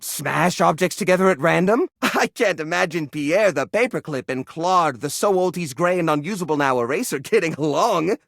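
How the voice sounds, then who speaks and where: An actor playing a fussy, sentimental hoarder in a video game, easily flustered, talking in an earnest, rambling, expressive way. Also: male voice, on the high side, moderate pace, gravelly, few pauses, dry recording, clean studio sound, exaggerated voice. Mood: nervous, sentimental. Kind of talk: video game character dialogue.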